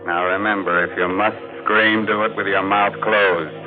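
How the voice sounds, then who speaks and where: A man's voice: on the low side, little pitch movement, normal speed, natural voice. A man playing a macabre, sardonic horror host and storyteller in theatrical 1940s radio style. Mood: sly, ghoulish. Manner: theatrical, sardonic, mock-friendly. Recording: muffled 1940s radio recording with music.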